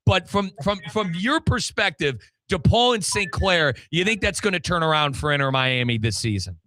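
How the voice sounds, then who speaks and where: Man, sports show host. High, punchy bright delivery, very dry sound, putting questions to a guest. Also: lively intonation, moderate pace, no room sound. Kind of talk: animated sports talk interview.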